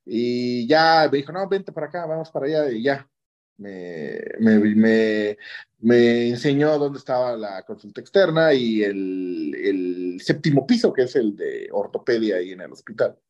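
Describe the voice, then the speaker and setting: A man's voice: medium pitch, animated, a little slow, a little mellow. Mexican man telling his story, muffled audio. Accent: Mexican Spanish. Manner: often ends phrases with a questioning tag. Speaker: interview guest, orthopedic surgeon. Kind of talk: conversational personal storytelling. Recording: dull lo-fi audio.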